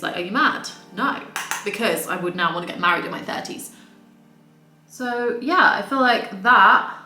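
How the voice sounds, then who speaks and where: Woman, late twenties, candid and exasperated, crisp bright voice, roomy echo. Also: medium pitch. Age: late 20s. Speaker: vlogger. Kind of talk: casual get-ready-with-me monologue.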